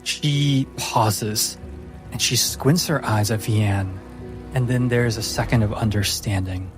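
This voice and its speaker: A man runs a tabletop fantasy game with dramatic narration. He is deliberate and slow, theatrical in delivery, with music clearly playing behind him. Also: fairly low pitch. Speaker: game master.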